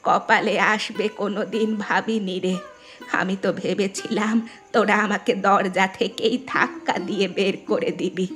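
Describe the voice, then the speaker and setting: A woman voicing an elderly village mother-in-law in a cartoon, weary and humbled, with a slow, plaintive, confessional way of talking. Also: mid-range voice, steady tone, fairly bright tone, soft background music, natural voice. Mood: humbled, grateful. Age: older. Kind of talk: Bengali moral cartoon dialogue.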